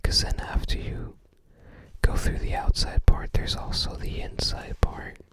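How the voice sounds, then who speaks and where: An ASMR host whispering in a hushed, unhurried way, musing aloud while browsing a book.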